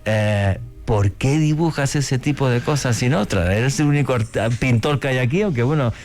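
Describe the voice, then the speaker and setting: A man's voice: medium pitch, normal speed, soft background music. A man hosting a casual radio chat, talking with enthusiasm and slang. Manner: enthusiastic, slangy, animated storytelling. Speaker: radio host.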